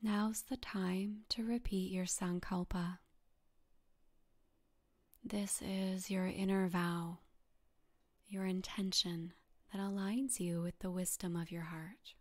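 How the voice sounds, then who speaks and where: Slow, soft-spoken woman guiding meditation. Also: mid-range voice. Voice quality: soft, breathy, half-whispered. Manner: calm, soothing, gently instructing with long pauses. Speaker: yoga nidra meditation guide. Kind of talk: guided meditation narration.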